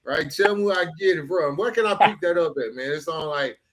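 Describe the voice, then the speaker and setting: A man's voice: a little high, lively intonation, a little fast, over a noisy crowd. A man hosting a podcast, talking casually and earnestly, often dropping in "man" and "bro" as he goes. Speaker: podcast host.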